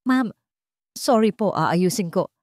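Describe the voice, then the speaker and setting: A woman's voice: mid-range voice, lively intonation, slow pace, darkish tone, no room sound, clean studio sound. A woman voicing a frightened, pleading employee. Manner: pleading, apologetic, polite. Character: frightened office employee, veteran staffer.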